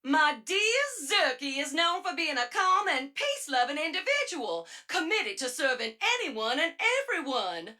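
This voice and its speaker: Video game robot spy guide: female, bright and very animated, theatrical, chirpy narration with a wry edge. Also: high voice, normal speed, hardly any pauses, heavily acted. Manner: theatrical, playful, exaggerated delivery. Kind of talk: scripted narration of in-game audio logs.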